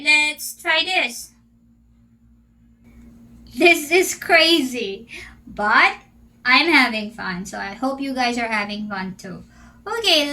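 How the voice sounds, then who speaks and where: Slow-spoken Filipino teacher. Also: female voice, high voice, pushed and bright. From Philippines. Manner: chatty, questioning, drawn-out words. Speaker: English teacher and channel host. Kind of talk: casual Q&A vlog.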